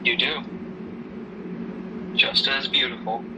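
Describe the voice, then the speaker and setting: A man playing a gentle, protective grieving father in an amateur audio drama, speaking in a halting, reassuring way. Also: mid-range voice, steady tone, natural voice. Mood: tender. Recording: noisy hobby recording, distant mic.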